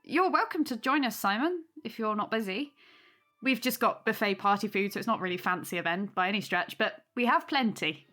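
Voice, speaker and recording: An actress playing a modest young aunt hosting in an audio drama, polite, gentle and eager to please. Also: female voice, mid-range voice, expressive, fairly quick, soft background music. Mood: welcoming.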